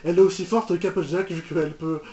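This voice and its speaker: A young man with a high voice reacts casually to what he is watching. He speaks haltingly, feeling for his words, in an incredulous tone. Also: fairly even intonation, warm tone, highs cut off. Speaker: reaction video host.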